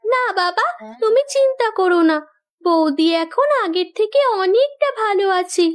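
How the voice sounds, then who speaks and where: A woman voicing a forgiving, cheerful young married daughter in a moral cartoon, with a gentle, reassuring manner. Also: very high voice, animated, normal speed. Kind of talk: Bengali moral cartoon voice acting.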